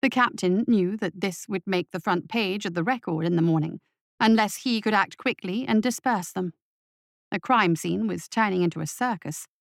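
A woman's voice: medium pitch, moderate pace, gravelly, no echo, studio quality. A woman reading scripted fiction as an audiobook narrator, in a dramatic storytelling style.

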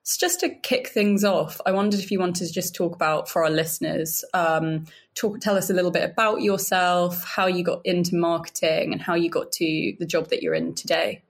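A woman hosting a podcast, reading a polished introduction and then interviewing in a measured, friendly way. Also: fairly low pitch. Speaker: podcast host. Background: faint music under intro.

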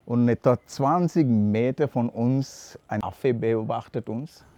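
A man co-hosting a casual podcast, speaking haltingly with many pauses and restarts, recorded outdoors in a garden. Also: medium pitch, mellow, dry recording. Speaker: podcast co-host. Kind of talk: casual chat.

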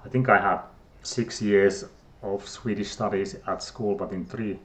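A man's voice: fairly low pitch, fairly even intonation, measured pace. Hesitant Finnish-accented man. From Finland. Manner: hesitant, many fillers and restarts. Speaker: guest scientist. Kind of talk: casual interview talk.

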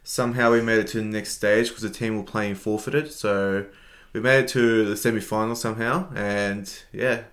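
Low-voiced young Australian man. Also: fairly even intonation, normal speed, fairly bright tone. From Melbourne, Australia. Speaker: podcast co-host. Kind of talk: casual podcast chat.

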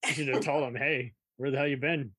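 A man's voice: medium pitch, steady tone, a little fast, a bit bright, slightly rough, no echo. A man hosting a casual, conversational interview. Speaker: interviewer and podcast host. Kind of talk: casual chat.

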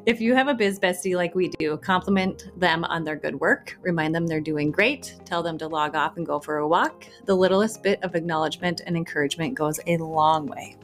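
A woman co-hosting a podcast speaks in a calm, soothing voice that sits fairly low. She delivers quick business tips plainly and matter-of-factly. Faint music plays underneath. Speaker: podcast co-host. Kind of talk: rapid-fire podcast tips.

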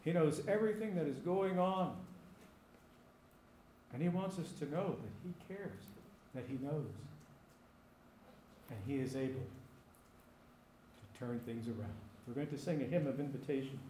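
A man with a high-pitched voice delivers a sermon as a pastor, pressing his points through repetition and rhetorical questions. The sound carries in an echoey room. A faint hiss of ambient noise sits underneath. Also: moderate pace, pauses often, mellow.

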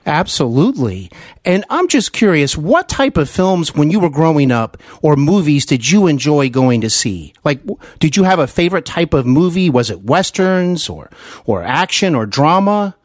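A man hosting an interview podcast, with deliberate, emphatic announcer-like phrasing. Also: fairly high pitch, big pitch swings, dry recording, clean studio sound. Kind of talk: scripted introduction and interview hosting.

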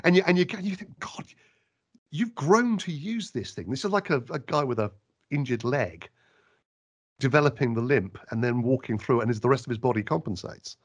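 A man in conversation who talks in an animated, emphatic way, his pitch jumping as he stresses his points. He often restarts his sentences as he works toward what he means. His voice sits in a middling range. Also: normal speed. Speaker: radio interviewer. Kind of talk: conversational interview answers.

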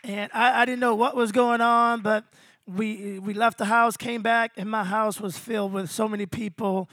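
Pastor, 50, hoarse and losing his voice, high-pitched, dry close sound, energetic preaching. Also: moderate pace, no room sound. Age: 50s. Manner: energetic, calls out to congregation. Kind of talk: sermon. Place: small venue with congregation.

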